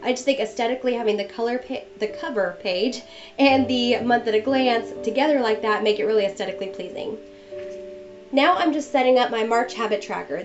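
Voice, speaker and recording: A young woman with a fairly high voice talks through her planning in a chatty, friendly way, still a little stuffy from a cold. Her voice-over sounds dull and lo-fi, and instrumental music plays clearly underneath. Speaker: bullet journal vlogger. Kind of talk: casual voice-over narration.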